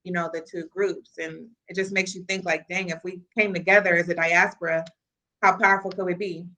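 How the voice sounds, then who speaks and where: Bright-voiced woman, earnest and reflective. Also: fairly low pitch, little pitch movement, brisk, low-quality recording. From Kansas City, US Midwest. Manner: earnest, reflective, frequent "you know" fillers. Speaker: podcast guest. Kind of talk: casual conversational interview.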